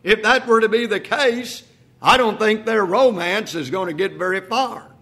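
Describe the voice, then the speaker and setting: Preacher guy in his 60s, pretty high voice, bright and a bit husky, lots of "think about this". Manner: rhetorical questions, drops to a quiet, slow delivery for emphasis; repeats "if you please" and "think about this". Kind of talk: sermon.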